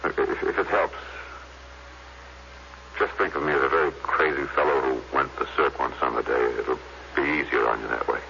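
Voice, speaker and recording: An actor playing an idealistic, doomed renegade space explorer in an earnest, intense 1950s radio drama style. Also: male voice, rumbling low, moderate pace, vocal fry, long pauses, pushed and bright, full character voice. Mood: earnest, wistful. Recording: dull, slightly noisy vintage radio recording.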